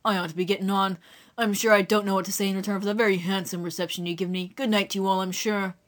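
A woman voicing an easygoing working-class man, a travelling photographer, speaking low and quietly. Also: level tone, quick pace, natural voice. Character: adult male stranger, a plain-spoken travelling photographer.

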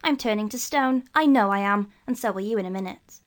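A woman's voice: mid-range voice, fairly quick, natural voice. A woman voicing a fretful young boy who talks in a worried hurry.

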